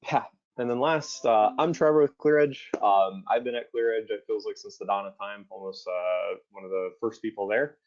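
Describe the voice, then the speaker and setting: Casual male webinar host, lower voice, lo-fi web-call sound. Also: normal speed. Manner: relaxed, casual, many fillers. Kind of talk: webinar hosting. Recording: muffled lo-fi online call audio.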